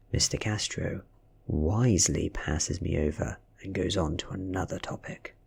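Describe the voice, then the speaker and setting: British man, ASMR story narration, soft, breathy, near-whispered, low, gravelly. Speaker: audiobook reader.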